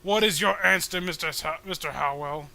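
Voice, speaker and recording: A man's voice: on the high side, normal speed, creaky, sharp tone, full character voice. A man voicing a pompous, indignant patriotic comic hero, delivering stumbling, grand oratory.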